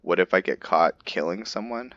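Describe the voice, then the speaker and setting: A man playing a fantasy game, reading lore aloud haltingly and stumbling over fantasy names, with casual remarks between. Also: deep voice, a bit bright. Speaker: gameplay roleplay streamer. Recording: slightly dull lo-fi audio.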